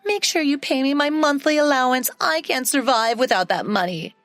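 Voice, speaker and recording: A woman's voice: high-pitched, animated, moderate pace, fairly bright tone, no echo, soft background music, voice pushed up. A woman voicing a spoiled, grumpy adult sister who boasts about money, in a narrated story.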